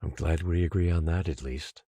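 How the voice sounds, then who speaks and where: An actor playing a stern, controlling professor in an audio drama, speaking in a measured, cold, authoritative way. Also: male voice, low-pitched, fairly even intonation, vocal fry, warm tone, no echo. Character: stern controlling professor who rules an island.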